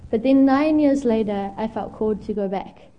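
A woman's voice: fairly high pitch, moderate pace, muffled recording. A young woman from Zimbabwe preaching a candid, storytelling sermon at a church, with frequent ums and self-deprecating asides. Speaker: guest preacher.

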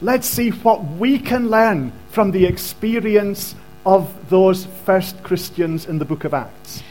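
A man preaching a sermon in short phrases, pausing so an interpreter can translate each one. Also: high-pitched, darkish tone, faint music behind.

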